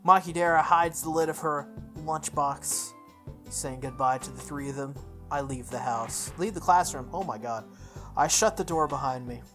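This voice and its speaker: A woman reading a visual novel aloud in first person, with expressive delivery, occasional stumbles and her own asides. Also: deep voice, normal speed, faint music behind. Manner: expressive, self-correcting reading.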